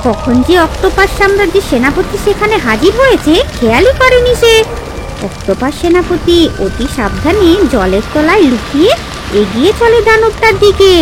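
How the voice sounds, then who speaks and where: Very high-pitched expressive woman storyteller. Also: moderate pace. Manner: expressive, dramatic. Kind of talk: dramatic scripted children's fairy tale narration. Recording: music under the voice.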